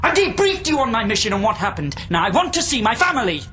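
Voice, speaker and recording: An actor playing an angry, desperate astronaut commander held in quarantine, sarcastic and emotional, in a science fiction radio drama. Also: male voice, very high voice, sing-song, fast talker, music in the background. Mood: furious.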